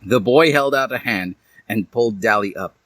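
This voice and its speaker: A man's voice: lowish voice, moderate pace, fairly bright tone, dry recording. A man narrating fan fiction as a comic dramatic reading, with a theatrical, mock-serious delivery.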